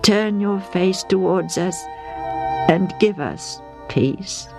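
A woman voicing a middle-aged Israelite father who speaks in a solemn, steady, preacherly way. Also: fairly low pitch, slow, gravelly, over loud music, voice pushed up.

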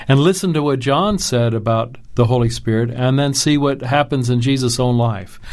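A man hosting a Bible discussion program, reading a scripted opening and then interviewing a guest in an earnest, measured tone. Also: mid-range voice. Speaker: radio Bible program host.

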